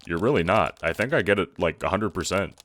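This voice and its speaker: A man's voice: low-pitched, expressive, fairly quick, fairly bright tone. A man playing a casual, foul-mouthed young time-traveling guy in an audio drama, talking in hesitant slang. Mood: curious, eager.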